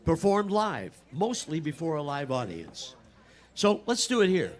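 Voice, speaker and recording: A man's voice: a little high, wildly swooping pitch, measured pace. A man hosting a live event, introducing the show and trading playful banter. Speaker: event host. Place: live before an audience.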